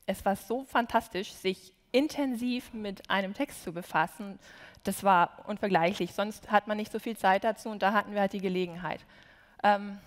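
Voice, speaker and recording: A woman's voice: medium pitch, normal speed. Candid young woman in a big hall. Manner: enthusiastic, candid, self-deprecating. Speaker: student translator. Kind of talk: panel conversation. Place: large university auditorium stage. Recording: long reverb in large hall.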